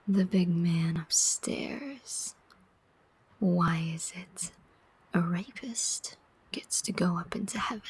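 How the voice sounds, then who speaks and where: Casting: woman to play female demon soul collector for ASMR roleplay. Very breathy whisper, extremely unhurried, sardonic, weary, teasing. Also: lowish voice, a little mellow, heavily acted, poor audio. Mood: challenging, bitter. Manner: slow, deliberate, teasing, pausing often.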